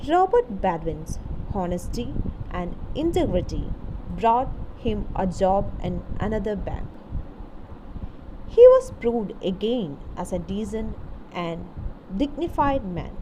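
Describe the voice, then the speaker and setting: A woman delivers scripted educational narration at a slow pace, breaking it into short, deliberate phrases. She explains like a literature teacher. The recording has clear background noise running under her voice. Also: mid-range voice.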